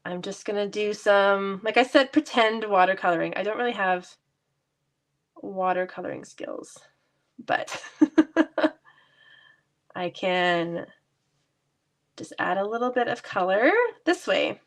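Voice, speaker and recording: A woman's voice: medium pitch, slow pace, pauses often. A woman with a stuffy cold voice, chatting casually and laughing lightly as she demonstrates card making in her basement craft room. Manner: chatty, laughs often, self-deprecating.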